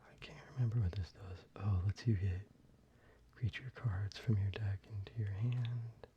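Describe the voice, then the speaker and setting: A young man talking softly in a half whisper, casual and rambling, as an ASMR creator. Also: fairly low pitch, steady tone, moderate pace. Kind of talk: soft-spoken ASMR show-and-tell. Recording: slightly muffled, with faint ambient noise.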